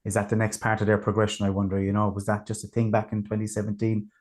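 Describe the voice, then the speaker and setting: A man hosting a casual music review chat, talking in a relaxed, chatty way with plenty of fillers. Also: fairly low pitch, quick pace. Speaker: podcast host.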